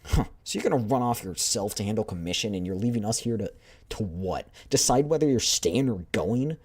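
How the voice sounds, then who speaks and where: A man with a deep voice voicing an eager, excitable young female squad member who pleads and protests in a raised voice. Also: a little fast, husky. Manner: pleading, high-strung.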